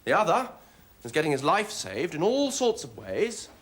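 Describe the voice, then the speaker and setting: A man playing a pragmatic, gallant army captain in a stage comedy, speaking in a wry, gently teasing, matter-of-fact way. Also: fairly high pitch, wildly swooping pitch, normal speed. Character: dry, pragmatic soldier captain, amused and gallant.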